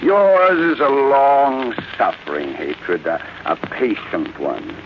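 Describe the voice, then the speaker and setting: An actor playing a bitter, mocking old family patriarch in a theatrical old-time radio drama style. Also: male voice, mid-range voice, lively intonation. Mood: bitter, taunting. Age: older. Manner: theatrical, sardonic, drawn-out taunting. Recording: noisy muffled vintage radio recording.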